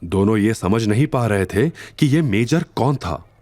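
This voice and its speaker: A man's voice: on the low side, animated, measured pace, a little mellow, no room sound. A man narrating a scripted audio drama in a dramatic storytelling style.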